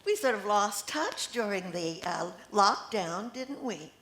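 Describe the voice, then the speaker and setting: A woman's voice: medium pitch, expressive, slow, a bit bright. An older woman reading the part of a sociable friend catching up, in an amateur play. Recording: echoey room with faint noise.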